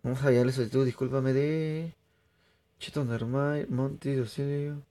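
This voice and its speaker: A young man from Peru, chatting excitably to viewers through live gameplay commentary. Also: mid-range voice, normal speed. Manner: chatty, excitable, laughs often, addresses viewers. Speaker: streamer.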